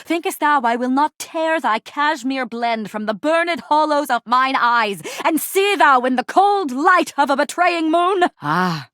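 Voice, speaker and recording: A woman's voice: sits high, animated, a little slow, hardly any pauses, fairly bright tone, no echo, studio quality. A woman voicing a fierce, haughty general in a video game, declaiming in grandiose, archaic Shakespearean language. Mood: suspicious, impassioned. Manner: bombastic, archaic theatrical declaiming. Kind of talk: video game character acting.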